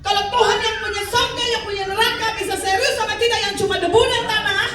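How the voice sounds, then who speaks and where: Female pastor, 50s, high voice, animated call-and-response preaching, distant mic. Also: fast talker, crowd noise. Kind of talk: sermon. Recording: echoey hall, mic from far off.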